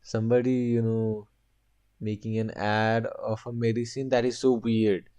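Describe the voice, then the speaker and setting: Animated man from Nepal reacting. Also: on the low side, normal speed, clear, resonant tone. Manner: animated, many fillers like "you know". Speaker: reaction video host. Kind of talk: casual reaction commentary.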